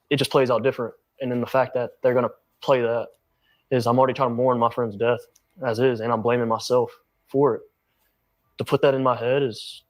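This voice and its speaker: A young adult man giving an emotional interview account in an earnest, halting way. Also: medium pitch, no room sound.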